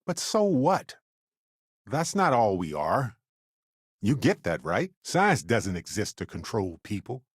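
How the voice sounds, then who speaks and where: An actor playing a wry, good-humored space explorer companion in a video game, talking in an expressive, conversational way. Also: male voice, fairly low pitch, takes it slowly, slightly rough, dry recording, studio quality, heavily acted. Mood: earnest. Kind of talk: video game character voice lines.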